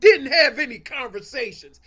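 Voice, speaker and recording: A man's voice: extremely high pitch, animated, moderate pace, very noisy. A man talking sports as a guest analyst, emphatic and colloquial, often repeating his phrases for weight. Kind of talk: animated sports talk.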